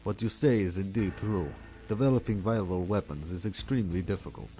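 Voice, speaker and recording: An actor playing a smug, calculating villain who lectures and taunts in a measured way in a radio drama. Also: male voice, deep voice, mellow, soft background music, close to an everyday voice. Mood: taunting. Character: smug, calculating male villain plotting with viral weapons.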